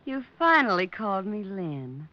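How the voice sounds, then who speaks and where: An actress playing a detective's flirtatious, playfully jealous sweetheart in a coy, coaxing 1940s radio manner. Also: female voice, medium pitch, sing-song, measured pace, bright, forceful tone. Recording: muffled old radio broadcast, music behind.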